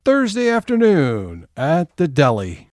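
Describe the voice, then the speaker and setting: Man as an animated comedy serial narrator. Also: a little high, slow, soft background music, exaggerated voice. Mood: announcing. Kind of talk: scripted audio theatre narration.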